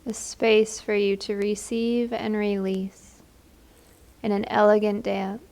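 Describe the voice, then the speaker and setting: Slow, even-toned woman guiding meditation. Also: mid-range voice. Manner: gentle, soothing, unhurried. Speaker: guided meditation leader. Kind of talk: guided meditation.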